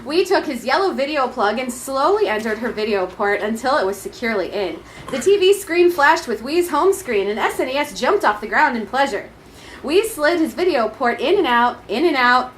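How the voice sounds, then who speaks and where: Animated, high-voiced woman reading comedy. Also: normal speed, slight background noise. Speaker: storyteller in comedy troupe. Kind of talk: comic story read aloud live.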